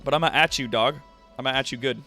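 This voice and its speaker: A man's voice: mid-range voice, fast, bright, forceful tone, faint music behind. A man hosting a livestream, chatting casually and directly to viewers with slangy, profane language. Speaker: livestream host and content creator. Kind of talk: casual stream chat.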